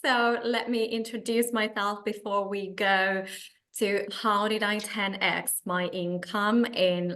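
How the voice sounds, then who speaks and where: Female coach, Finnish accent, unhurried delivery, presenting a live webinar to participants. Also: mid-range voice, fairly bright tone. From Finland. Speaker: coach hosting an online meetup.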